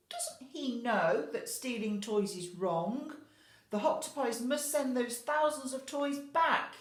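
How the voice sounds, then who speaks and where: A woman teacher reading a picture book expressively to young children, addressing them directly with questions and asides. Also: medium pitch. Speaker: infant school teacher reading a story. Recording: roomy, echoey indoor sound.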